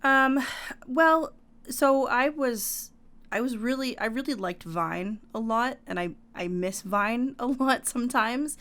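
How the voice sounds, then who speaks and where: A woman's voice: a little high, takes it slowly, a bit bright. A woman co-hosting a podcast, talking in an easygoing, thoughtful way with light fillers. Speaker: podcast co-host. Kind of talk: casual podcast chat.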